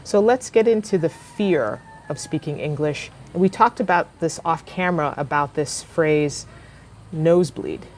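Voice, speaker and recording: Woman English-teacher host, low-pitched, clear and encouraging, slight background hiss. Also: normal speed. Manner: encouraging, warm backchannels, clear teacherly delivery. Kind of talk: interview and advice to viewers. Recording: faint background noise.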